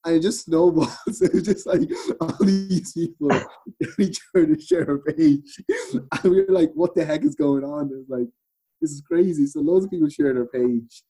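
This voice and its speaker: A man's voice: on the high side, animated, normal speed, mellow, breathy edge, low-quality recording. A man with an Irish accent, chatting casually as a podcast guest and retelling events with quoted bits of dialogue. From Ireland. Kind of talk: casual chat, storytelling.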